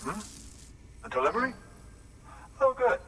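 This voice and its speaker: An actor playing a kindly, courteous older doctor who speaks earnestly in a video game. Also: male voice, very high voice, very animated, a little slow, background noise, full character voice. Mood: pleased, earnest. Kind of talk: video game cutscene acting.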